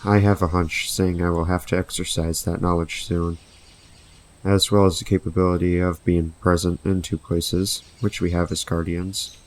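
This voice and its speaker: A very low male actor's voice for a serene guardian spirit in an audio drama. He speaks formally and with measured care, in solemn, old-fashioned turns of phrase. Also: flat delivery, close to an everyday voice. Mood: serene, assured. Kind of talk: scripted audio drama acting.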